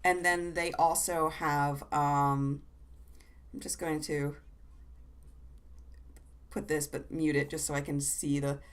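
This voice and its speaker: A husky woman co-hosting a podcast, chatting casually from her desk with many fillers and restarts while fighting a cough. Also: sits low, flat delivery, takes it slowly, pauses often. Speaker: podcast co-host. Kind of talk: casual chat. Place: home desk.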